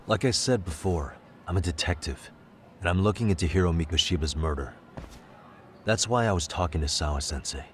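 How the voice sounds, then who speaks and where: An actor playing a calm, determined private detective in a video game dub, speaking in a measured way. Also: male voice, low voice, natural voice. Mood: firm, resolute. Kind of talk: English game dub dialogue. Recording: music behind the voice.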